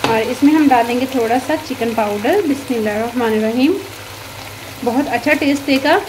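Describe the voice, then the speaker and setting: A woman's voice: fairly high pitch, brisk, background noise. A woman narrating a home cooking recipe as a channel host, instructive and sprinkling in religious blessings. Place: home kitchen.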